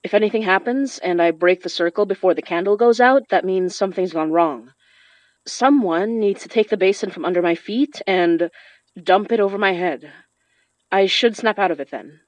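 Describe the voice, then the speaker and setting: A woman's voice: mid-range voice, moderate pace, no room sound, close to an everyday voice. A woman playing a determined, informal young spirit summoner in a horror audio drama, giving things a self-possessed, casual tone. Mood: serious. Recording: dry, slightly dull studio sound.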